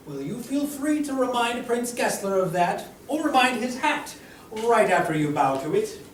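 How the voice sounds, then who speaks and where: A man's voice: very high voice, very animated, normal speed, slight background noise. A man voicing a smug, taunting town guard at a table read, in a roomy room with a distant mic. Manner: sneering, taunting.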